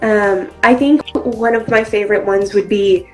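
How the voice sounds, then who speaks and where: A woman's voice: medium pitch, steady tone, unhurried, soft background music, low-quality recording. A young woman from Brazil, whose first language is Portuguese, answering interview questions in a friendly, chatty way with many ums. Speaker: interview guest, actress and model.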